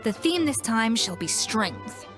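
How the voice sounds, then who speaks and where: Exacting, self-assured young woman chef in a fantasy game, slightly high voice, crisp businesslike delivery over music. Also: big pitch swings, a little slow. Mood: assured, explanatory. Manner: confident, precise, businesslike. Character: proud, exacting young female celebrity chef. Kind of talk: video game voice acting. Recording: music behind.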